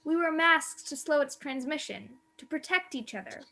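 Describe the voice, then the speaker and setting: A woman's voice: sits high, lively intonation, normal speed, bright, forceful tone, full character voice. A female performer acting in a radio-style play as a questioning youngster from the present day. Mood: puzzled, correcting.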